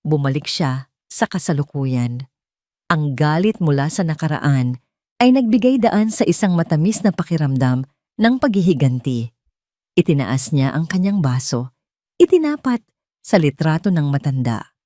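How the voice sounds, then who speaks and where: A woman's voice: deep voice, sing-song, normal speed, pauses often, warm tone, no echo, studio quality. A woman narrating a scripted love story in a dramatic storytelling style.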